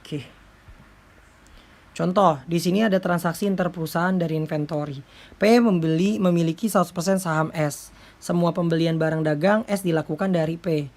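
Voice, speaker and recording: Low-voiced woman lecturing informally. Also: normal speed, a little mellow. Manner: step-by-step explaining with frequent check-in tags. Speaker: accounting lecturer.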